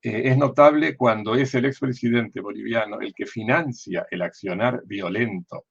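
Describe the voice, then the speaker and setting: An older Argentine man with a low voice and a gravelly, creaky edge delivers political commentary. He explains things deliberately, like a lecturer, asking questions and then answering them. The sound is a little dull and lo-fi. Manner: deliberate, didactic, with digressions and self-questions. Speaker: former diplomat and political analyst.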